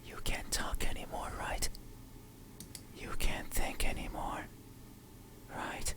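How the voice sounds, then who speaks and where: An actor plays an eerie stranger from a horror game, speaking in a slow whisper. The delivery is hushed and deliberate, menacing yet softly sorrowful. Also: natural voice. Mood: quietly menacing.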